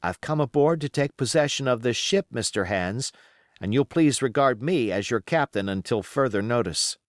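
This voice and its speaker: A man's voice: mid-range voice, lively intonation, moderate pace, no echo, studio quality, close to an everyday voice. A man voicing a plucky, cheeky boy hero of a sea adventure storybook, speaking boldly and formally. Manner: formal, bold, declarative.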